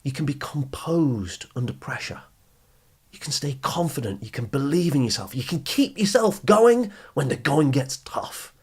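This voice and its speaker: A man's voice: on the high side, animated, moderate pace, husky. A British man hosting a motivational advice podcast, speaking directly to listeners in an emphatic, explanatory manner with rhetorical questions and repetition. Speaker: podcast host and study skills coach.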